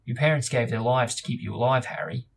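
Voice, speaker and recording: A man reads as a stern wizard teacher from a storybook, gravely disappointed in a pupil. The voice sits at a middling pitch with a gravelly, husky grain, and the rebuke is measured and serious. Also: far from the mic, poor audio. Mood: stern disappointment. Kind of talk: audiobook-like reading of novel dialogue.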